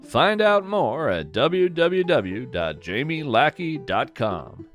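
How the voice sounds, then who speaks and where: A man narrating a scripted podcast as its host, in a measured, reflective way. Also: on the high side, sing-song. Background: plucked guitar music.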